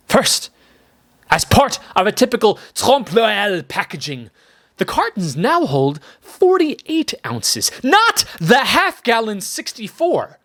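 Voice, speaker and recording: Guy doing a big dramatic read of a funny column, slow and drawn out, voice jumps all over the place. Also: fairly bright tone. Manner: theatrical, with sweeping pitch swings and drawn-out pauses. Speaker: reader of a newspaper column. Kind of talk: dramatic reading of a humorous essay.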